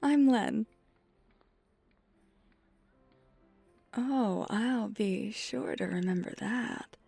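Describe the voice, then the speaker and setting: Woman acting a friendly flirty vampire, ASMR roleplay, soft breathy delivery, creaky edges, leisurely pace. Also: medium pitch, exaggerated voice. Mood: shy, friendly. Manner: soft-spoken, gently reassuring, often breathy near-whisper. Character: flirty friendly female vampire teaching ice skating. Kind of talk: ASMR roleplay, one side of a conversation. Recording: music behind the voice.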